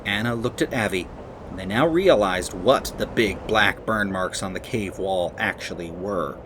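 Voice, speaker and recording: A man reading to children as a storyteller, expressive, with dramatic emphasis. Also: low voice, measured pace, noisy background.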